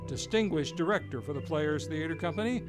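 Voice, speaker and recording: A man's voice: on the high side, a little fast. A man announcing an old-time radio hour, with formal, flourishing introductions. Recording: music behind.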